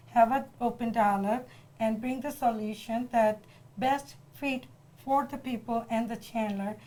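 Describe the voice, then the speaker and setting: Woman, Bangladeshi accent, fairly high voice, candidate interview, earnest, slightly halting delivery. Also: darkish tone, low-quality recording. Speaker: city council candidate being interviewed. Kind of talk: earnest interview answers about a campaign.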